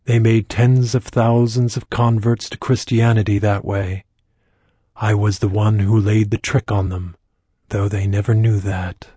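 A calm, knowing trickster sage, voiced by a man in an audiobook, low and dark in tone and nearly monotone. His husky voice explains without hurry. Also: measured pace, no room sound, clean studio sound. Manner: flat, level, explaining calmly. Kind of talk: audiobook character voice.

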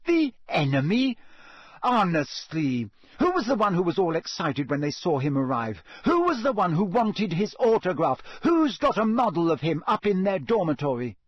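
A man's voice: high-pitched, very animated, moderate pace, slightly rough, no room sound, voice pushed up, heavily acted. A man voicing an indignant, flustered teenage girl in an audiobook, heated and emphatic. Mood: exasperated.

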